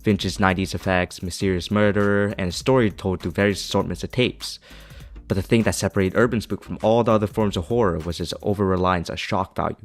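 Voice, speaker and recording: A man's voice: sits low, steady tone, quick pace, soft background music. A man reading a scripted video essay as its narrator, with an opinionated, critical manner.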